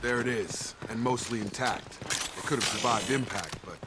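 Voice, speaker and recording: An actor playing a sober, guarded soldier in a video game, speaking in a measured, understated way. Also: male voice, medium pitch, natural voice. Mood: sombre. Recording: very noisy background.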